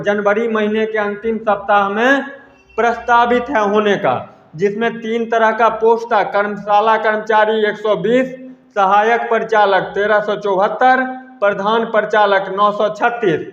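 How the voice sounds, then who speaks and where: A man gives an informal exam update to students, repeating himself emphatically and addressing them as friends. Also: sits high. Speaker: exam news presenter. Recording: echoey hall-like reverb, dull lo-fi sound.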